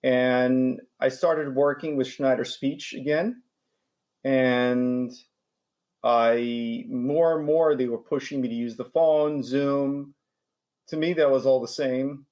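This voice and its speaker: A man in his forties who stutters, repeating words and restarting lines as he speaks. His voice sits at an ordinary middle pitch for a man. He talks as a guest in a casual interview. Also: steady tone, takes it slowly, darkish tone. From New York, USA. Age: 40s.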